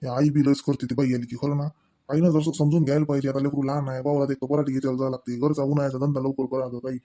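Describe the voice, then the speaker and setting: A man voicing a quick-talking, harried village husband. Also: medium pitch, little pitch movement, mellow, lightly acted. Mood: muttering, weary. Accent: Varhadi Marathi. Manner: grumbling, placating. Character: harried village husband, irritable but resigned peacemaker. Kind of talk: comedy cartoon dialogue.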